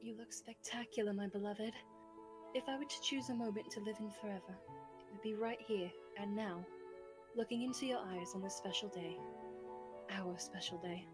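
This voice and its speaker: A woman's voice: medium pitch, fairly even intonation, normal speed, music in the background, lightly acted. A woman voicing a tender, genteel young bride with formal, affectionate speech in an anime fan roleplay.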